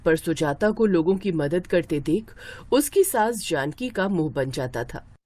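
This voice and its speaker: A woman's voice: lowish voice, animated, a little slow, mellow, a little hiss or hum. A woman reading scripted narration for a moral story as its narrator.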